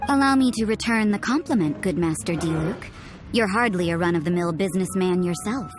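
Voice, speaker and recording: A woman's voice: on the low side, brisk, lightly acted. A woman voicing a cool, aloof young nun in a video game, dry and sardonic in delivery. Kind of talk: English video game voice acting. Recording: music playing behind the voice.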